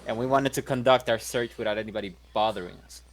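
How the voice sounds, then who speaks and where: A man's voice: mid-range voice, quick pace. A man playing a tabletop game in character, brash and blunt, cursing freely. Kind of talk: in-character roleplay chat.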